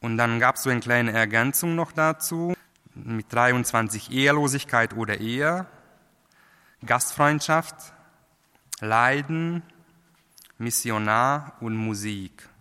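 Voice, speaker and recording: A man preaching a sermon in a language that is not his first, halting, with many fillers and restarts. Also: mid-range voice, sharp tone, smooth. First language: not German. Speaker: lay preacher. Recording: long hall reverb.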